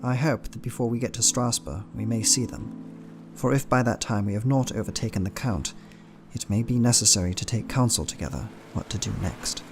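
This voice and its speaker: An actor playing an earnest, anxious Victorian husband reading his journal aloud in a measured, formal way for a radio play. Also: lowish voice, over music, close to an everyday voice. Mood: worried. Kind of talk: dramatic audio journal reading.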